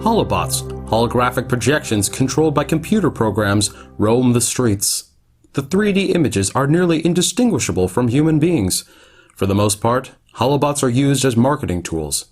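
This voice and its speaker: A man narrating a futuristic radio play as a solemn, measured, dramatic storyteller. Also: medium pitch, very animated, darkish tone, husky, faint music behind.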